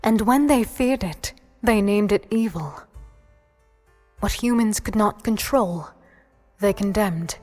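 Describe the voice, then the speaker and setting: A woman playing an earnest storyteller, giving measured, deliberate scripted narration of a dark fantasy tale. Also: mid-range voice, faint music behind. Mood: solemn.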